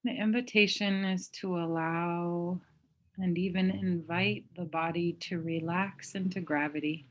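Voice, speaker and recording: Gentle lady doing a guided meditation, lowish voice, really slow w long pauses. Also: fairly even intonation, highs cut off. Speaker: mindfulness meditation teacher.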